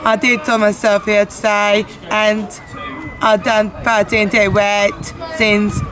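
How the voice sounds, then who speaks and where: A woman in her thirties talking slowly and haltingly to the camera outdoors on a quay. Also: medium pitch, over music. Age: 30s. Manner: slow, flat, halting. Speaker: trip participant interviewed. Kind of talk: casual chat to camera. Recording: loud outdoor noise.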